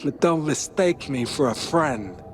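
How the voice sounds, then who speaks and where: Smooth mocking hitman in a noir game, takes his time, voice a bit high. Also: animated, unhurried, a little hiss or hum. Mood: breezy, dismissive. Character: smooth, businesslike hired hitman, polite and mocking.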